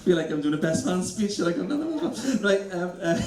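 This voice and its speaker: A man's voice: sits high, big pitch swings, fairly quick, a little mellow, echoey room. A man co-hosting an event, giving a welcome speech in a joking way. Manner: joking, laughs between lines. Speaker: event co-host.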